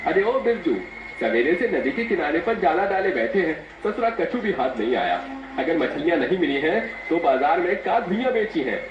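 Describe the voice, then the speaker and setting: Man acting excitable rustic fisherman, rural north Indian Hindi, high swooping pitch, grumbling, story drama. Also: moderate pace, noisy background. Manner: exclamatory, exaggerated rustic talk. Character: rustic village fishermen, grumbling and easily frightened. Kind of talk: animated dramatized story dialogue. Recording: echoey, dull, distant, over music.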